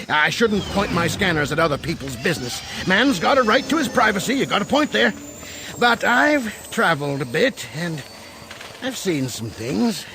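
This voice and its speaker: A man's voice: a little high, creaky, pushed and bright, slight background noise, heavily acted. A man playing a friendly old rogue trader in a space opera audio drama, folksy, rambling and given to nervous chuckles. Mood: chatty, probing. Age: older.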